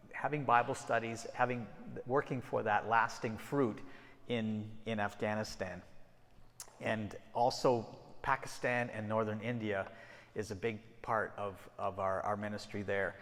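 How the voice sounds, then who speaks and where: A man's voice: lowish voice, steady tone, a little slow, a bit bright. Middle-aged man in a reverberant church. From Ecuador and Peru. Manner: conversational, frequent restarts and "and so". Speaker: missionary guest speaker. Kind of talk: mission talk to a church congregation. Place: church sanctuary. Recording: long hall reverb.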